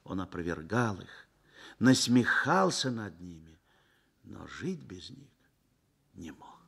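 A man narrating a Soviet radio drama in a measured, dramatic storytelling manner. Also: on the low side, big pitch swings, creaky, fairly bright tone.

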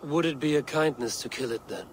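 A man's voice: on the high side, slight background noise, lightly acted. Man acting a grave, commanding Norse warrior-god. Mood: cold, calculating. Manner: solemn, measured, formal. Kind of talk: cinematic video game acting.

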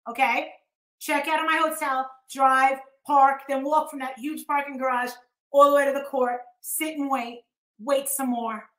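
A woman's voice: sits high, fairly even intonation, a little slow, fairly bright tone, reverberant, low-quality recording. A middle-aged American woman ranting casually on a livestream in a blunt, scornful, sweary manner. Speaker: YouTube host. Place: living room at home, indoors.

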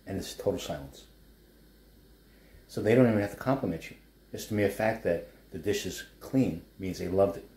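A man's voice: low-pitched, moderate pace, pauses often, mellow. A man in his fifties from New York with a New York accent, chatting casually about his cooking and his small business as he stirs a wok at home. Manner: chatty, rambling asides while stirring a wok. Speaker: chef and food blogger. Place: home living room kitchen setup, indoors. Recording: roomy home sound.

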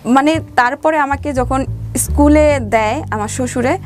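A woman's voice: high voice, a little slow, darkish tone, soft background music. A woman with a Bangladeshi Bengali accent telling her life story as an interview guest, colloquially, voicing the dialogue she recalls. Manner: colloquial, acts out reported dialogue.

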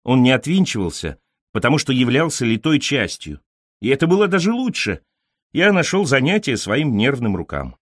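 A man reading literary fiction as an audiobook narrator, in an expressive, carefully scripted storytelling delivery. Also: mid-range voice, normal speed, no echo, clean studio sound.

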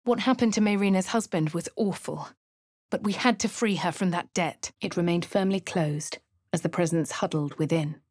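An actress playing a posh female adventurer from a video game, narrating her deeds with a husky voice in a posh English accent. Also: lowish voice, moderate pace, a little mellow, no room sound, studio quality, close to an everyday voice. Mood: wry. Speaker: player character voice. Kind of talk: game voice lines, first-person narration.

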